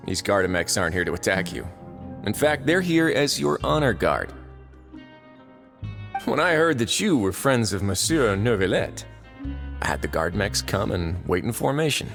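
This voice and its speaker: A man's voice: medium pitch, vocal fry, a bit bright. A man playing a suave, composed duke who rules a prison in a video game, speaking courteously and formally with dry amusement. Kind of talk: English video game voice acting. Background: faint game music.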